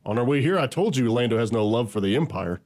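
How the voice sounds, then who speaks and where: A man plays a cocky smuggler rogue in a sci-fi audio drama. He is brash and dismissive, with lively, swinging intonation. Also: mid-range voice, quick pace, close to an everyday voice.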